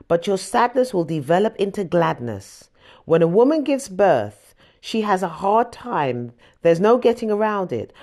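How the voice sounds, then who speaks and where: A woman reading scripture aloud as scripted audiobook narration, with an expressive storytelling delivery. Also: fairly low pitch. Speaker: audiobook reader.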